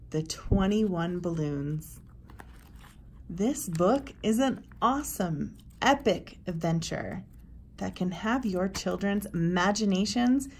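Slow-paced woman presenting. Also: medium pitch, a little mellow, slight background noise. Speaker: presenter for a homeschool curriculum blog. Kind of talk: book recommendation walk-through to camera.

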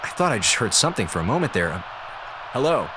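An actor playing a sarcastic, theatrical slacker communications officer on a space station in a radio drama. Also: male voice, medium pitch, sing-song, fast talker, background noise, lightly acted. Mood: startled, unsure. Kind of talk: radio drama monologue as a log.

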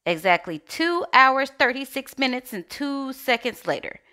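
A woman narrates a children's storybook with plenty of expression. Her voice is high and animated, and she reads at an unhurried pace. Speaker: storybook reader.

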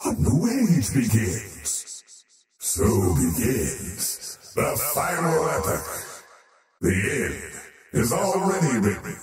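A man's voice: on the high side, very echoey, recorded from a distance, heavily acted. A man voicing a faceless otherworldly oathbreaker for a video game, menacing and arrogant, with a grandiose, taunting, theatrical delivery. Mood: ominous, portentous. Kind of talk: video game character voice lines.

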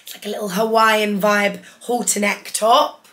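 A young woman in her twenties, hoarse from losing her voice, chatting enthusiastically through a clothing haul in her living room. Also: mid-range voice, little pitch movement, a little slow, a bit bright, slight background noise. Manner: chatty, enthusiastic, holds up each item. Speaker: fashion vlogger. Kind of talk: casual haul vlog. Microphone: camera in front, seated.

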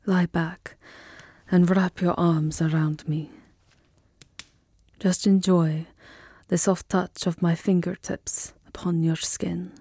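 A woman playing a tender, lovesick vampire countess in an intimate ASMR roleplay, speaking softly and often dropping into a whisper, with formal, wistful endearments. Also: fairly low pitch, level tone, slow pace, slightly rough, dry recording, studio quality, exaggerated voice. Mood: relieved, loving. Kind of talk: ASMR roleplay, soft intimate romantic acting. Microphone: close microphone, dry. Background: music.

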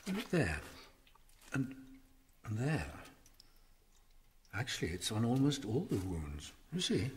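An actor playing a thoughtful, careful gentleman doctor with a deliberate, explanatory manner in a radio drama. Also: mid-range voice, wildly swooping pitch. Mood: absorbed.

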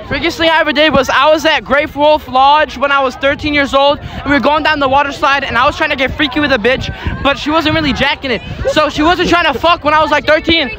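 A man's voice: extremely high pitch, fairly even intonation, quick pace, bright, forceful tone, a faint crowd, poor audio. A young man at a night party boastfully rambling through answers in a street interview. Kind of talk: casual chat.

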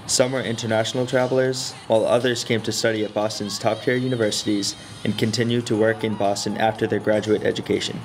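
Young man, formal scripted narration, earnest, lowish voice, music bed. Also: level tone, fast, hardly any pauses, rough recording. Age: young adult. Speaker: student documentary narrator.

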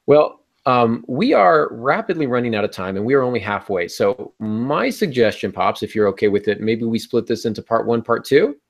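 A man's voice: medium pitch, animated, normal speed, gravelly, few pauses. A middle-aged man hosting a panel podcast, explaining in a conversational way and posing rhetorical questions. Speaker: podcast host. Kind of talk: conversational writing-advice panel talk. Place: small room at home, indoors. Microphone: earbud headset on video call.

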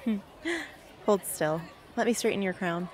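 Young woman playing tough guarded teen girl, radio drama, dry blunt lines, medium pitch, bit of background noise. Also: unhurried, natural voice. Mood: wry, fond. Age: young adult. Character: blunt, guarded, defiant teenage girl victor. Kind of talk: amateur radio drama acting. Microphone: close studio microphone.

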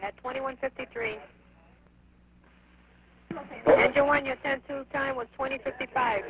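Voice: high-pitched, fairly even intonation, a little fast. A fire dispatcher talking procedurally over a two-way radio, clipped and repeating messages back. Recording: tinny, noisy fire dispatch radio recording.

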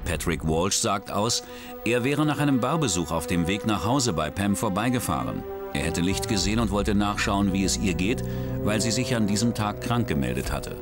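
A man with a very deep voice narrates a crime documentary in a measured, grave tone. His pitch moves a lot as he tells the story. Loud dramatic music plays under the voice-over. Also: lively intonation.

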